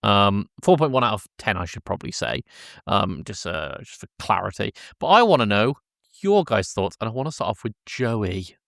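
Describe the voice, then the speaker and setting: A man's voice: fairly low pitch, sing-song, moderate pace, vocal fry, a bit bright, dry recording, clean studio sound. A man hosting a casual film discussion podcast, often hesitating and correcting himself as he goes. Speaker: podcast host.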